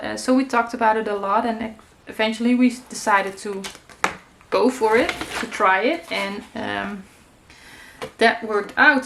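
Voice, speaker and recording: A woman in her thirties with a Dutch accent, whose first language is Dutch, chatting casually while she works through a paper craft tutorial. She talks in a loose, friendly way, with many fillers, and now and then she stops to search for a word. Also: on the high side. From Netherlands. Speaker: crafting channel host. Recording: echoey room with faint noise.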